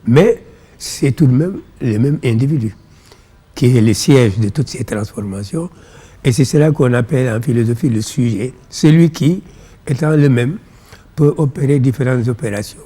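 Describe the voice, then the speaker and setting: A man with a Senegalese accent and Pulaar as his first language, explaining ideas in measured, didactic phrases as an interview guest. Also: mid-range voice, dark tone, breathy edge. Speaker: interview guest, philosophy author.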